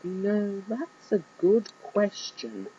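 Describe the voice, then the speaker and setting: A reader voicing a laid-back male creature sidekick in a dry, low-key voice close to the reader's own. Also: lively intonation, quick pace, highs cut off, very noisy, lightly acted. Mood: deadpan.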